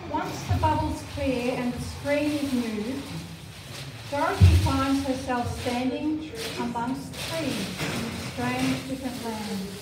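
A woman's voice: sits high, slow. An older woman reading scripted narration for a live amateur pantomime. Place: care home common room, indoors, before an audience. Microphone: handheld microphone, captured by far camera. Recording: echoey hall, distant microphone, loud room noise.

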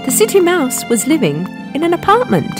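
High, very animated woman storyteller. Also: fairly quick. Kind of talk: scripted children's story narration. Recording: music behind the voice.

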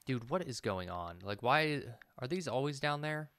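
A man's voice: mid-range voice, animated, normal speed, a bit bright. A man in his thirties giving casual let's play commentary, thinking aloud and muttering to himself as he plays. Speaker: gaming video host.

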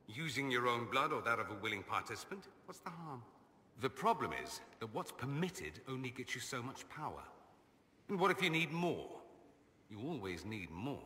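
Game companion: a sardonic, self-amused mage noble, crisp bright voice, lively pitch, reverb tail. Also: male voice. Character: witty, vain male mage nobleman, wry and worldly. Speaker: companion character. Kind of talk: video game dialogue.

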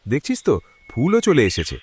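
An actor playing a friendly, hardworking village farmer in a cartoon. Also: male voice, fairly high pitch, a little fast, a little hiss or hum. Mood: cheerful. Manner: friendly, animated. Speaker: farmer Faisal. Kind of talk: cartoon voice acting.

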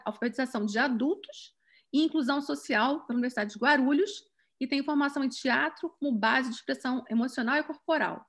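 A woman hosting and moderating a community video call, introducing speakers with frequent restarts. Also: high voice. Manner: hesitant, many restarts and fillers. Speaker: event host and moderator.

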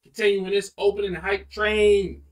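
A man's voice: high voice, level tone, normal speed, far from the mic, slight background noise. A young man co-hosting a reaction video, talking animatedly, repeating himself and saying "bro" a lot. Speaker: reaction channel co-host. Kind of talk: casual reaction chat. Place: small indoor room, seated in gaming chair.

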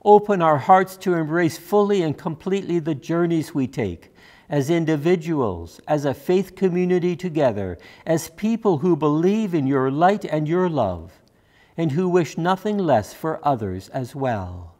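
An older man speaking as a minister in a church, measured and solemn, reading a sermon or prayer from a prepared text. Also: fairly high pitch, lively intonation, a little mellow. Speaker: minister leading worship. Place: church sanctuary, at a lectern.

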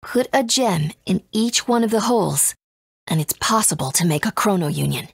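A woman's voice: medium pitch, lively intonation, moderate pace, creaky, breathy edge, no room sound, full character voice. Serious woman leader, mid-range, dry studio sound, composed game dialogue. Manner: serious, explanatory. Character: composed, authoritative woman guiding young heroes. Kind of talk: video game voice acting.

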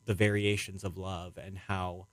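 Young man, lower pitch, dry close recording, halting reflective interview answers. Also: level tone, no echo. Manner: hesitant, rambling, reflective. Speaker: playwright being interviewed.

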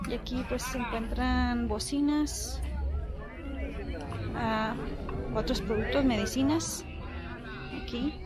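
A woman narrating a casual walking vlog outdoors, pointing out items in short, unhurried phrases into a handheld camera. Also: on the high side. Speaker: vlogger. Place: outdoor swap meet, walking among stalls. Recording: loud crowd and music behind voice. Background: market crowd and music.

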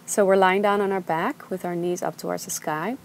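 Calm woman guiding a yoga class. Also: fairly low pitch, slight background noise. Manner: calm, slow, soothing cues. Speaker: yoga instructor. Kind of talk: guided yoga instruction. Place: outdoors on a beach.

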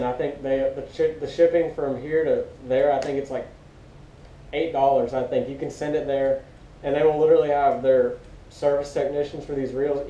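Muffled, very dark-toned young American man. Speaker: co-host of fishing gear reviews. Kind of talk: casual review chat. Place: living room at home, indoors. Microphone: camera a few metres away. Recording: dull muffled roomy audio, rumbly background noise.

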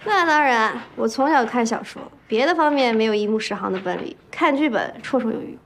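A woman's voice: a little high, lively intonation, fairly quick, a bit bright, slight background noise. An actress in her twenties playing a spirited, eager and stubborn young assistant in a TV romantic comedy drama. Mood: determined. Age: 20s. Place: office interior, TV drama production.

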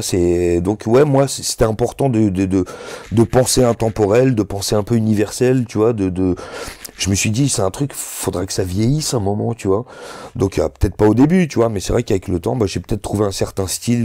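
A man's voice: fairly low pitch, dark tone, no echo. A man in his thirties, not a native French speaker, chatting loosely and slangily as a rapper guest in a sit-down interview, full of "tu vois" fillers. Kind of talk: casual interview chat.

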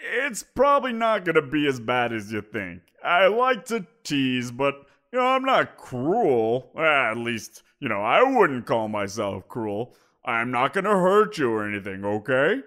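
A man performs a greedy giant creature who toys with his captive, his voice climbing and swooping with mocking amusement. He lingers over his words, slow and deliberate, smug in his power. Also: mid-range voice, very animated, a bit bright. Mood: coaxing. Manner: playful, teasing, drawn-out. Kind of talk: audio roleplay.

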